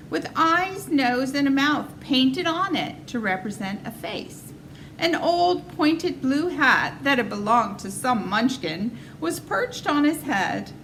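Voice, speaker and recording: Female storybook reader: animated intonation, clear forward tone, some light background noise. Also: high-pitched, low-quality recording. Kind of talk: expressive children's story narration.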